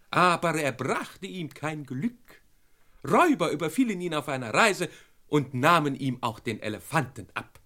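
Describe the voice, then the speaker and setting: Imperious maharaja, highly animated melody, ceremonious and flowery, courteous, vintage radio drama. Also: male voice, full character voice. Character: grand, courteous Indian maharaja, imperious and theatrical. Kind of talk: vintage German radio crime play acting.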